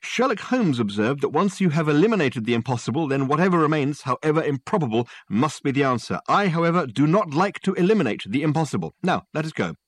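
A man doing a pompous excitable detective. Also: on the high side, no echo, voice pushed up. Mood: impatient. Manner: grandiloquent, excitable, rapid flourishes. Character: flamboyant verbose middle-aged male detective.

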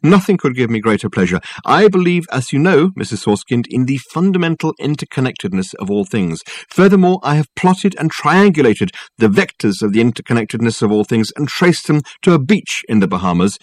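A man's voice: medium pitch, lively intonation, fairly quick, few pauses, dry recording, close to an everyday voice. A man voicing a grandiloquent, unflappable male detective who talks soothingly and floridly. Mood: soothingly pompous. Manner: florid, soothing, mock-sorrowful.

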